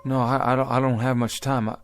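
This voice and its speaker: A man's voice: mid-range voice, level tone, brisk, smooth, soft background music, lightly acted. Actor as a bewildered, stammering pioneer man. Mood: confused, faltering. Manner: hesitant, stammering, folksy old-time phrasing. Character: bewildered, earnest pioneer wagon traveler from the past. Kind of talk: radio drama acting.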